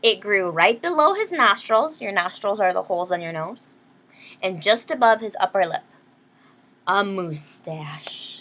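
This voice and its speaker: A woman's voice: medium pitch, expressive, measured pace, a bit bright, slight background noise. A woman reading a picture book aloud to young children, explaining things simply and checking in with questions. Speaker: children's storybook reader, teacher. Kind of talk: read-aloud storytime with explanations.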